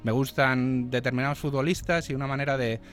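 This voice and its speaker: A middle-aged man with a Madrid accent chats casually as an interview guest, often pausing and repeating phrases as he searches for words. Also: medium pitch, fairly even intonation, a bit bright, soft background music. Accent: Madrid Spanish. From Madrid, Spain. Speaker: interview guest, travel blogger and former sports journalist.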